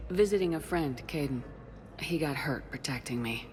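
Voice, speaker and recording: Low-pitched steady woman commander. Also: moderate pace, faint music behind. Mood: friendly. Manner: direct, plain-spoken. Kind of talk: video game cutscene dialogue.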